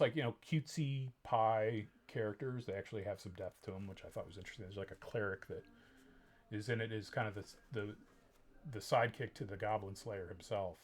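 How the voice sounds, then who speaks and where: Deadpan joking man, rambling haul chat. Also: on the low side. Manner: deadpan, joking, lots of ums and restarts. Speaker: vlogger. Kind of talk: casual ironic haul chat.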